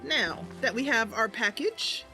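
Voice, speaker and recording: A woman casually narrates her game roleplay as a streamer. Her delivery is animated and conversational, moving freely up and down, with game music playing behind her. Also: on the high side, measured pace.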